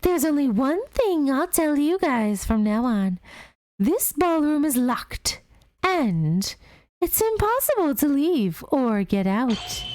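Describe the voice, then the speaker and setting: A woman voicing a mischievous, gleefully cruel male bear mascot, with a teasing, drawn-out delivery as she reads a game's dialogue aloud. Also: high-pitched, sing-song, a little mellow, no echo, pitched above the performer's own voice, full character voice, rough recording. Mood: gleeful taunting.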